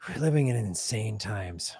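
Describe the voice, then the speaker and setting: A man hosting a live political show from a studio with bright lights, chatting casually and joking in a playful, self-mocking way. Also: lowish voice, lively intonation, moderate pace, creaky, slightly rough, no room sound. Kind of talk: casual livestream chat.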